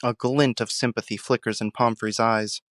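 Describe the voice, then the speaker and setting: A man's voice: mid-range voice, fairly even intonation, moderate pace, a bit bright, no echo, clean studio sound. A man giving scripted narration for an audio drama, describing scenes as a storyteller.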